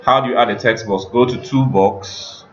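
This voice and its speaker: A man giving a screen-share coding tutorial as a teacher, explaining step by step in a halting, instructional way. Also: mid-range voice. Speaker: computer teacher. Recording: muffled with background noise.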